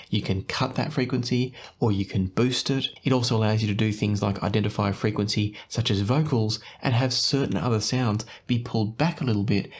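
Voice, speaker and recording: A man presenting an explanatory product review as the host of an audio channel. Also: fairly low pitch.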